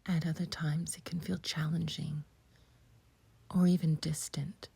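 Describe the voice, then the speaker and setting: A woman leading a scripted guided meditation in a gentle, breathy near-whisper, speaking in short phrases with long pauses. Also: low-pitched, flat delivery.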